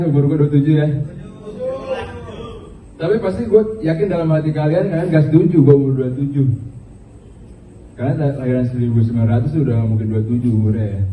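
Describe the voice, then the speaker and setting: A man delivers stand-up at a small open mic, halting and filler-heavy, with bits of English mixed in. He sounds distant from the mic in a roomy space. The audio is thin and phone-like, with a faint hiss underneath. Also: mid-range voice. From Indonesia. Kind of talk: stand-up comedy.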